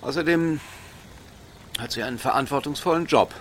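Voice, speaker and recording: A man's voice: a little high, normal speed, a bit bright, a little hiss or hum, natural voice. A man playing a patient, encouraging middle-aged father with an earnest, coaxing manner in a radio drama. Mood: mildly defensive. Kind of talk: naturalistic radio drama dialogue.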